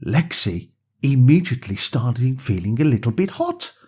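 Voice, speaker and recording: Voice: medium pitch, very animated, normal speed, husky. A storyteller narrating a scripted bedtime story for young children.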